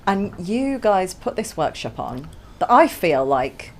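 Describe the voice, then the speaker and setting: A woman's voice: on the low side, big pitch swings, takes it slowly, slight background noise. A woman hosting a podcast, chatting casually and laughing often. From England. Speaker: podcast host. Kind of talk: casual chat.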